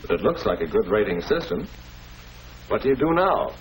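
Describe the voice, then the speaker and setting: A fatherly, middle-aged American schoolmaster from a 1940s classroom film. His low voice swings expressively as he patiently explains. Faint noise from the old soundtrack sits under it. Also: sing-song, normal speed, low-quality recording. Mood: encouraging. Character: patient, avuncular male school principal or teacher. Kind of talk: 1940s educational film acting.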